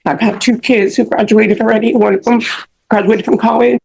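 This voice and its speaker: A woman's voice: fairly high pitch, steady tone, brisk, creaky, a little mellow. A middle-aged woman testifying as a defendant in a courtroom, apologetic and pleading.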